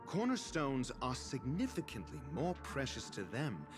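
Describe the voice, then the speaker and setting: A haughty male scholar in a video game, speaking slowly with lively, swinging intonation. He is dry, articulate and condescending, with music behind him. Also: medium pitch. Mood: curt, disdainful.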